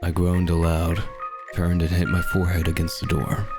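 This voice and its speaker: A man narrating a scripted horror story in the first person, with a measured, ominous, dramatic delivery. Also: low-pitched, monotone. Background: dark background music.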